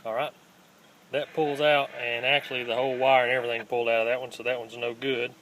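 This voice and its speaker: A man giving a casual, unscripted hands-on how-to in a plainspoken, halting way, recorded on a handheld phone. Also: medium pitch, flat delivery, fairly bright tone, low-quality recording. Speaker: host of a scrapping how-to channel. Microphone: handheld phone, filming one-handed. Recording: home phone video with faint background noise.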